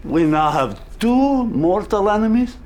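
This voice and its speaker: An actor playing a nervous, fretful Soviet scientist with an anxious, pleading manner in a game cutscene. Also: male voice, sits high, sing-song, a little slow, a little mellow, slight background noise. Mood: dismayed. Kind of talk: dramatic video game cutscene acting. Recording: music behind the voice.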